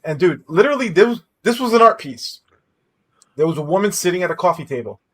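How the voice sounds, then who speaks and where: Animated man telling a story, slightly high voice. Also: fast. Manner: animated storytelling, reads viewer messages aloud. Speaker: podcast co-host. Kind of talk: casual gaming podcast chat.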